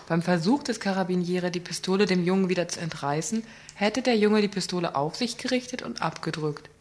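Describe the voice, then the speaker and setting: A woman's voice: lowish voice, brisk. A woman reading a scripted report on a community radio news program, earnest and questioning, with small slips.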